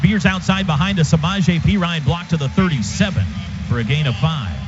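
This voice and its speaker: A man's voice: a little high, brisk, over music. A man doing live radio play-by-play of American football in clipped, descriptive phrases. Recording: dull radio broadcast with clear background noise.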